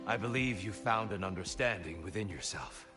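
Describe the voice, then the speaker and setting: A man playing a composed, probing guide and sparring master in a video game, speaking formally and deliberately. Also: on the low side, close to an everyday voice. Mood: challenging. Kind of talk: dramatic video game acting. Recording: loud music behind. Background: loud game music.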